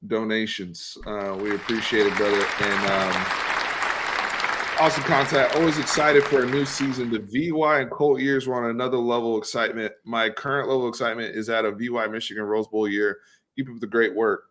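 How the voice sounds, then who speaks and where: A man in his thirties from Texas hosts a casual sports chat. He talks in animated bursts and repeats words as he gathers momentum, with a mid-range voice. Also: mid-range voice, a little fast, a crowd in the background, background noise. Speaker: host.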